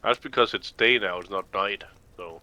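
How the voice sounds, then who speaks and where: A man with a bright, forward-sounding voice talks casually alongside a video game. He likes to explain, and does so haltingly, circling back over his words. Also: fairly low pitch, dry recording. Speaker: gameplay co-commentator explaining folklore.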